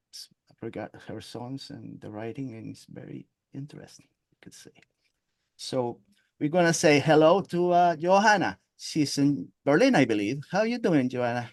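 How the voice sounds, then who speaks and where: A man's voice: mid-range voice, creaky, dry recording. Hesitant male interview host. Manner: hesitant, many fillers. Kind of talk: casual interview.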